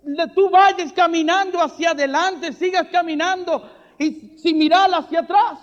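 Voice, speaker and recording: High-voiced fervent preacher man, hall echo. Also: steady tone, unhurried. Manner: fervent, builds to shouting refrains; jokey asides with soft laughs. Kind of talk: charismatic sermon. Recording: reverberant hall, dull lo-fi sound.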